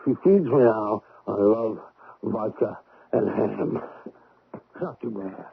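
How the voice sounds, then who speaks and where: An actor playing a boastful, grumbling, hot-tempered Russian drunkard in a 1970s radio drama, with a rambling, blustering delivery. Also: male voice, on the low side, lively intonation, slow pace, gravelly, breathy edge, dry recording, heavily acted. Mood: rambling, aggrieved. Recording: noisy phone-like 1970s radio recording.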